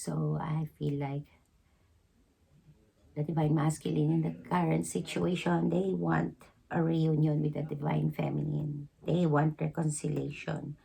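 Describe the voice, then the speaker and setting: A woman giving an intuitive tarot reading, hoarse because she is losing her voice, speaking slowly with many halting pauses. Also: low voice, flat delivery, dark tone, rough recording. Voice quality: hoarse, gravelly. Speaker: tarot reader.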